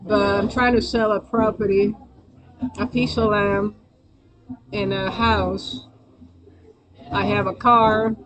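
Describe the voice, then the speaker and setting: A woman's voice: medium pitch, little pitch movement, slow pace, pauses often, fairly bright tone, low-quality recording. A woman with a Spanish accent hosting a live stream, chatting loosely to viewers in a rambling, hesitant way. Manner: rambling, hesitant, many fillers and restarts. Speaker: livestream host.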